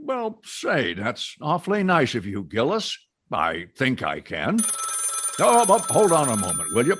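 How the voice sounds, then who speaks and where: An actor playing a polite, busy factory boss in an old-time radio sitcom. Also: male voice, medium pitch, wildly swooping pitch, unhurried, a bit bright, husky, exaggerated voice. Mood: polite, brisk.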